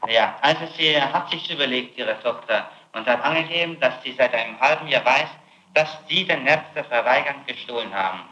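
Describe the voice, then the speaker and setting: Through a dull, echoey 1960s tape recording comes a man with a forceful, sharp-edged voice at a middling pitch. He conducts a formal interrogation as a prosecutor, pressing and challenging with his questions. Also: brisk.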